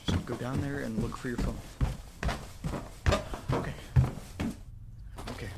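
An actor playing an anxious young man alone in a creepy house, talking haltingly to himself in a horror audio drama. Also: mid-range voice, fairly even intonation, vocal fry, heavy background noise. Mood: nervous. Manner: halting, muttering to himself, swearing. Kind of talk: horror audio drama, first-person monologue.

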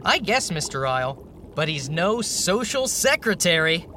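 An actress playing a plucky, frank young sidekick, earnest and inquisitive, in a 1930s radio serial. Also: female voice, on the low side, big pitch swings, measured pace, pushed and bright, slight background noise, exaggerated voice. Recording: music behind the voice.

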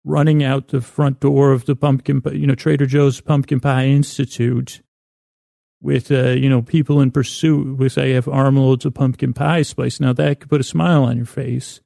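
A man with a creaky voice hosting a bedtime podcast in a meandering, halting monologue full of unfinished sentences. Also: mid-range voice, flat delivery, mellow, dry recording, studio quality. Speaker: podcast host.

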